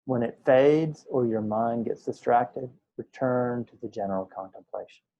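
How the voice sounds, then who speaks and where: A man teaching a guided meditation, speaking in unhurried short phrases with pauses between them. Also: mid-range voice, mellow, lo-fi sound. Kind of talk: guided meditation, slow instructional speech.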